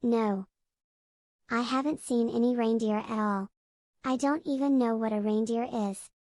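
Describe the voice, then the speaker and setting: A woman's voice: on the high side, steady tone, measured pace, a little mellow, dry recording, clean studio sound, lightly acted. A female performer voicing an innocent, curious five-year-old girl in an audio drama, speaking simply and earnestly. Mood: meek. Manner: simple, earnest childlike talk.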